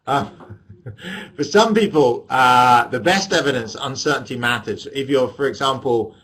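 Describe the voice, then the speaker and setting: English male lecturer, middle-aged, mid-pitched, chatty webinar delivery with wry asides. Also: measured pace, fairly bright tone, husky. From England. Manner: chatty, self-deprecating, joking asides with laughs. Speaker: economics lecturer. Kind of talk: webinar lecture over webcam. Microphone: webcam microphone.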